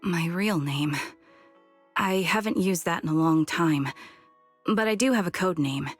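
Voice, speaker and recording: A reserved, perceptive female veteran in a video game. Her voice is on the low side, calm and measured, with formal politeness. There is music behind her. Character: composed, perceptive retired female soldier, partly blind, polite and reserved. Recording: music under the voice.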